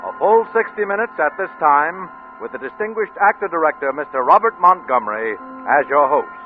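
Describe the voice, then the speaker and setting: An American man makes a dramatic, formal radio announcement. His voice is somewhat high and very animated, on a thin, phone-like vintage recording with music behind it. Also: a little fast. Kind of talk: scripted 1940s radio announcing.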